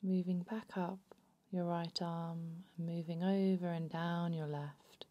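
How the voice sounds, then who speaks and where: A woman narrating a guided body scan meditation in a soothing, unhurried, hushed manner. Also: on the low side, level tone, dull audio.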